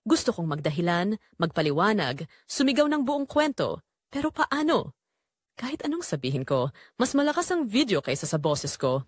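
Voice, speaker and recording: A woman's voice: mid-range voice, very animated, moderate pace, dry recording, studio quality. A woman reading scripted first-person narration for a dramatic audio story.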